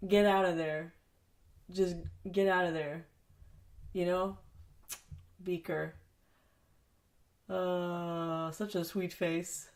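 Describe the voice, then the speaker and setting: A woman with a nasal, stuffed-up voice, sniffly as she comes down with a cold. She rambles through her solo podcast in a chatty, self-mocking way, swears now and then, and breaks into loud exasperated bursts. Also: fairly low pitch. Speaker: podcast host. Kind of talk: solo casual podcast chat. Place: home basement studio.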